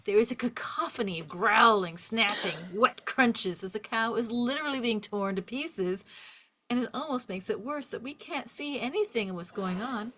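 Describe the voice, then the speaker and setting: A woman's voice: mid-range voice, fairly bright tone, a little hiss or hum. A woman reading stage directions as a scripted narrator, in a vivid descriptive storytelling manner.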